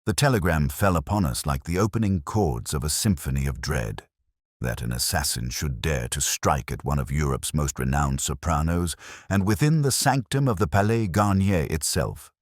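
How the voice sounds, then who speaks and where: A man's voice: extremely low pitch, no room sound, studio quality. A man reading a scripted dramatic story as narrator, in a formal, solemn, literary manner. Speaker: audiobook narrator.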